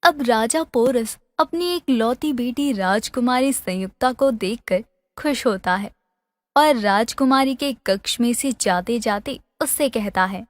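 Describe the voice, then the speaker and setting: A woman's voice: a little high, measured pace, no room sound. A woman storyteller reading a scripted fairy-tale narration.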